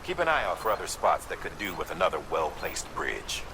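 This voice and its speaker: Low-voiced, encouraging mission handler. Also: male voice, big pitch swings, brisk. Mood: encouraging, instructive. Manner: clear instructions, encouraging. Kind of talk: video game dialogue. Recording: loud background noise.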